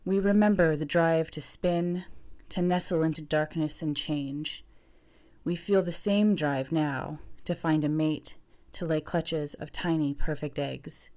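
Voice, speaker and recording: A woman's voice: sits low, fairly even intonation, measured pace, a little mellow. A woman giving a scripted reading of lyrical, literary prose. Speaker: reader of a literary piece.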